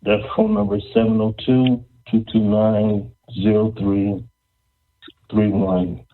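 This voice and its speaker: A man hosting a casual interview show, speaking haltingly and often repeating words. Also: on the low side. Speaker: podcast host. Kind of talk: casual interview and event announcements. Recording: thin phone-like, band-limited audio.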